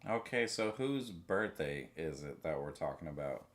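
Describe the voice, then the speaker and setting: A woman's voice: very deep voice, animated, moderate pace. A woman chatting casually, teasing and firing probing questions. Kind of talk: casual chat with friend and AI.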